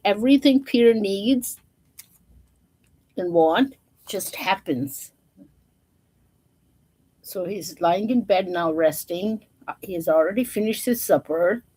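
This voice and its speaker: A woman's voice: medium pitch, mellow, husky, poor audio. A woman storyteller reading a children's picture book aloud, with informal, chatty asides to her listeners.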